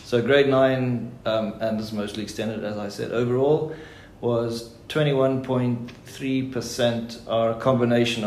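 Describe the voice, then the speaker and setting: A man's voice: lowish voice, darkish tone, a little hiss or hum. A man hosting a podcast, moving between scripted narration and informal interviewing. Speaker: podcast host.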